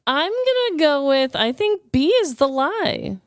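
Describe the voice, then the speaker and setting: A woman's voice: high-pitched, big pitch swings, a little slow, dry recording. A woman co-hosting a podcast in casual comedic chat, playful, exclamatory and slangy. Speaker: podcast co-host.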